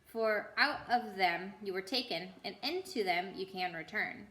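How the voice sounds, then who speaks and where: A woman reads as a strong, kindly lion king from a storybook, solemn and measured in delivery. The voice is bright and carries a clear room echo. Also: medium pitch, natural voice. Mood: solemn, benevolent. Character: majestic, strong, happy male lion, gravely kind ruler.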